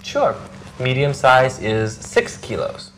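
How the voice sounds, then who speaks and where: A young man speaks in a slow, carefully paced scripted dialogue for English learners. His voice moves up and down a lot, and the studio recording carries a faint background hiss. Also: mid-range voice, big pitch swings, poor audio. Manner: clear, deliberate delivery. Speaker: actor in English lesson dialogues.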